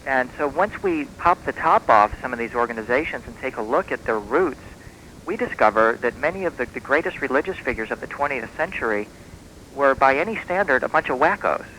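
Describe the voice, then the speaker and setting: Bright-voiced man on noisy shortwave radio. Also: mid-range voice, expressive, fairly quick. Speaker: guest author on a radio talk show. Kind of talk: conversational radio interview, explanatory storytelling. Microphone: radio broadcast.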